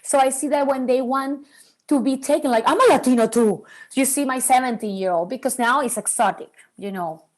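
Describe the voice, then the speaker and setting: A woman in her forties from Venezuela whose first language is Spanish, speaking as a guest about raising bilingual children in an earnest, animated way. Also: high-pitched, a little fast. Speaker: podcast guest, bilingual parenting expert. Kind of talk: interview conversation.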